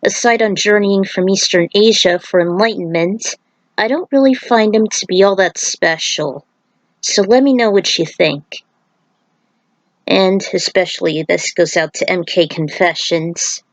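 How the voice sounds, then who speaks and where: A woman's voice: medium pitch, a little slow, no room sound, poor audio. A woman narrating her own fan fiction, moving between casual recap and reading aloud.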